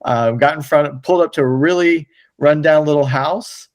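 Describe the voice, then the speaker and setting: A man's voice: fairly high pitch, normal speed, no echo. An American man hosting a podcast in a casual, conversational style. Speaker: podcast host.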